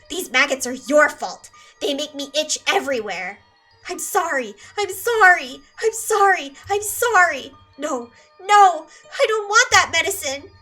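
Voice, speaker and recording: Unhinged guilt-ridden teen girl, high and piercing, set back from the mic over music. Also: normal speed, sharp tone, distant mic. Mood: hysterical. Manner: obsessive, repeating apologies, swinging from whisper to scream. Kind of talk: dubbed anime drama monologue.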